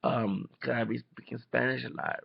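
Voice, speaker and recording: Male vlogger, mid-pitched and full of vocal fry, halting casual commentary with restarts, muffled webcam sound. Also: steady tone. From Texas, United States. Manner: rambling, halting, repeats and self-corrects. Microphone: webcam microphone. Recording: dull lo-fi webcam audio.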